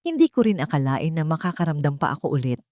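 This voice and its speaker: A woman voicing a wounded, grieving man who confesses quietly in a romance story. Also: deep voice, big pitch swings, fast, dry recording, studio quality. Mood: sorrowful, tender.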